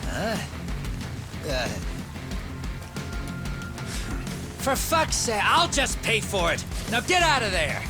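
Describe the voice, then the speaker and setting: Exasperated blunt buddy in a game, raised swingy voice, music behind. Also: male voice, sits high, wildly swooping pitch, moderate pace. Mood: urgent exasperation. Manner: blunt, urgent. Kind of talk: video game cutscene voice acting.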